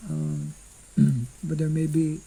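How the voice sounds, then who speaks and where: An older monk giving an informal scripture class outdoors into a stand mic, speaking slowly and haltingly and clearing his throat often. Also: fairly high pitch, steady tone, mellow, rough recording. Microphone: stand mic in front.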